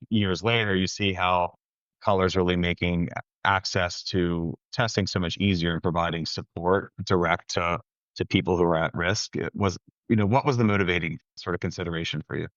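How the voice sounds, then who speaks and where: Man, podcast host, very low voice, muffled dull audio, casual questions. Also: a bit bright, dry recording. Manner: casual, searching phrasing. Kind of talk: interview questions.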